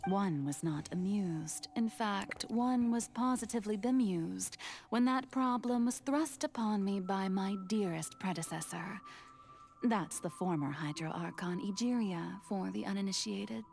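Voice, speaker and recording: Soft music sits behind a water goddess from a video game. She is playful and pleased with herself. Her speech is ornate and teasing, delivered with theatrical flourish at a medium pitch. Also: unhurried, vocal fry. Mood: wry, theatrical. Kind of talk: video game cutscene acting. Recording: game dialogue under background music.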